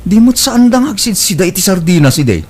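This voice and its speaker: Actor as animated, nosy Ilocano village man. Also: high voice, a little fast, husky, heavily acted. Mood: mocking, curious. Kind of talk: Ilocano comedy radio-style drama acting.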